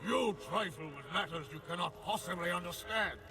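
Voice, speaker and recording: A man's voice: high voice, fairly quick, sharp tone, soft background music. An actor playing a stern, imperious father god in a video game, grave and commanding. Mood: stern warning. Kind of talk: dramatic video game voice acting.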